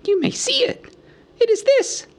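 A man voicing a small, fussy, anxious male hobbit from a storybook, squeaky, polite and rambling in a businesslike way. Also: unhurried, vocal fry, full character voice. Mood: indignant, pleading. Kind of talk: storybook character voice.

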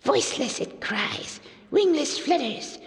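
A man's voice: very high-pitched, lively intonation, slow pace, vocal fry, big-room sound, voice pushed up, full character voice. A man voicing a lonely, scheming cave creature who hisses and whispers, talks to himself and mangles his grammar in a storybook reading. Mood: sly riddling. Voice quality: hissing whisper.

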